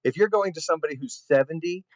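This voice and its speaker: A man's voice: a little high, rapid-fire, no room sound. A man co-hosting a casual comedy debate, playful and joking. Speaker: co-host. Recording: dry, dull lo-fi sound.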